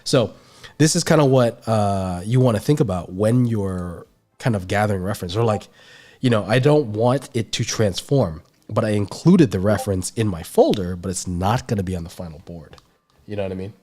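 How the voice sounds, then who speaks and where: Stuffy-nosed man teaching art, low voice. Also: moderate pace, warm tone. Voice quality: nasal. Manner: rambling, filler-heavy explaining. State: stuffy nose. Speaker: art instructor. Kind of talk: livestream art lesson.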